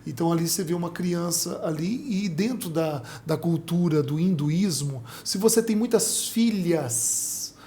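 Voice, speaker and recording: A Brazilian man telling lively stories as a missionary guest in a casual interview. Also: a little high, unhurried, hardly any pauses. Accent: Brazilian Portuguese. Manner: animated, vivid storyteller.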